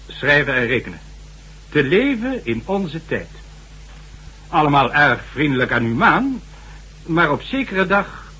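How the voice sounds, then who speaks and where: Male senior government official, radio drama. Higher-pitched, very animated delivery, stern, condescending, formal. Slightly noisy old recording. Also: slightly rough, exaggerated voice. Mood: grave, recounting. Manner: sardonic, condescending, formal, lecturing. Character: stern, sardonic high government official and former investigator. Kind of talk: Dutch radio drama acting. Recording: faint hum and noise, old radio recording.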